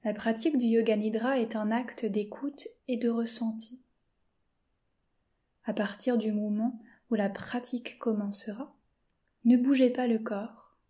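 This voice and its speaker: A woman guides a yoga nidra session, her voice slow and unhurried. Her tone is mellow and dark, and she keeps her pitch steady and flat. She often drops to a soft whisper. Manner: slow, soft-spoken and calm, with long pauses. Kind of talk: guided meditation.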